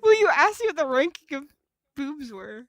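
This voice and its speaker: Female podcast co-host, high voice, cheeky and giggly, off-the-cuff chat in a dry recording. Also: very animated, normal speed, no echo. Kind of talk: casual chat.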